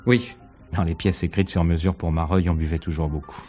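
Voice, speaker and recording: A discreet theatre agent in an old-style radio mystery. His voice is very low, his phrasing measured and guarded, with faint music underneath. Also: steady tone, darkish tone, husky. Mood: explanatory. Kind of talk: 1950s French radio mystery acting.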